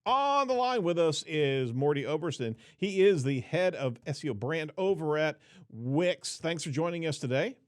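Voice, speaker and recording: A man's voice: on the high side, lively intonation, normal speed, few pauses. A man hosting a news podcast, chatting in an upbeat way and laughing often. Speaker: podcast host. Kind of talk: casual news podcast chat.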